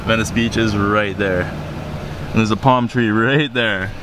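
A young man in his twenties vlogging casually to a handheld camera outdoors, excited and swearing often. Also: fairly low pitch, slow pace, background noise. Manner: excited, swears a lot, talks straight to camera. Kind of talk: casual travel vlog. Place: outdoors at a beach and in a truck. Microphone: handheld camera at arm's length.

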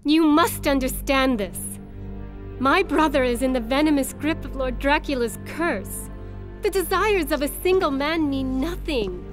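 High-voiced solemn young noblewoman. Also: unhurried. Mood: earnest pleading. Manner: formal, earnest. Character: earnest, solemn young noblewoman, pleading yet firm. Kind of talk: dramatic video game voice acting. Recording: music under the voice.

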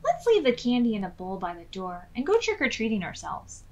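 A woman's voice: on the high side, wildly swooping pitch, brisk. A woman voicing a fretful, bossy young male storybook character in an emphatic, dramatic way. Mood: urgent, persuasive.

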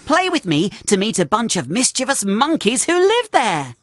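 A woman's voice: fairly high pitch, huge pitch swings, normal speed, no room sound. A woman voicing a cheerful, curious young male talking steam engine, telling stories earnestly and expressively to children in a cartoon game. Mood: playful, inviting.

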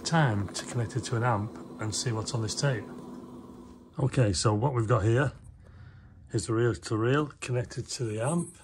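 Man talking over clear noise and clicks. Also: mid-range voice, moderate pace. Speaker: host of a hands-on vintage electronics video. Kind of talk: casual hands-on demonstration commentary.

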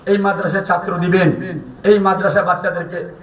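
A man's voice: sits high, fairly even intonation, brisk, a little hiss or hum. A man with a Bangladeshi Bengali accent preaching an impassioned, declamatory Islamic sermon to a gathering, pressing his listeners to respond. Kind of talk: fiery waz sermon to a gathering. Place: religious gathering at a madrasa. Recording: echoey PA, distant dull mic, crowd.

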